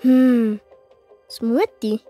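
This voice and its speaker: A woman's voice: fairly high pitch, steady tone, very slow, mellow, soft background music. A woman playing a sensitive young schoolgirl in a children's audio drama, childlike, with a Swiss German accent. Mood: comforted, trusting. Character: sensitive young schoolgirl, easily hurt, trusting daughter.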